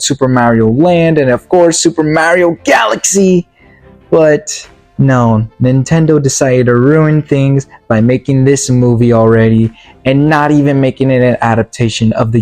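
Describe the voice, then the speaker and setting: A man's voice: mid-range voice, dark tone. A man giving a casual spoken movie review, rambling with many fillers and restarts, his voice worn out and tired. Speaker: video reviewer.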